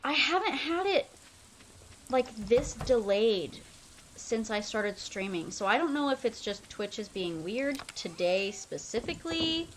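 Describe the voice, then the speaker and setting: Slow, rambling young woman streamer. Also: fairly high pitch, a little hiss or hum, rough recording. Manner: rambling, loose, full of fillers. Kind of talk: casual chat while playing a game.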